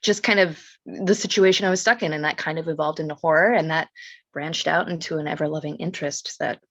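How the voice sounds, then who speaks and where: A woman's voice: sits low, fairly even intonation, fairly quick, fairly bright tone, dry recording. An American woman from Oregon talking casually about herself as a podcast guest. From Oregon, United States. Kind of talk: casual self-introduction.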